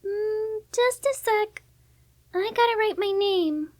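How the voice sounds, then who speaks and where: A woman's voice: very high-pitched, takes it slowly, dry recording, studio quality. A woman voicing a sweet, innocent, childlike girl in an anime visual novel fan dub, cutesy and drawn out. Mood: innocent, curious.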